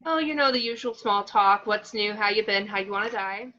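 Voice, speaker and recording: A woman's voice: mid-range voice, brisk, pushed and bright, close to an everyday voice. A woman playing a tough, blunt young survivor, direct and wry, in an amateur table read on a slightly dull recording.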